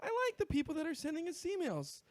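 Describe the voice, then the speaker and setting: High-voiced man chatting on a podcast. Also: wildly swooping pitch, quick pace, no echo. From US Northwest. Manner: loose, rambling, many fillers and restarts. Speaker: podcast co-host. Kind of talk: casual podcast chat.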